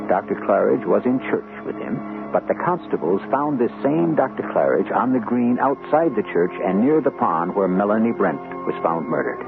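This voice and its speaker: A man hosting and narrating a radio mystery, with deliberate, theatrical, rhetorical scripted delivery. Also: fairly low pitch, vocal fry. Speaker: host and narrator. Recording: tinny old radio broadcast. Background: music.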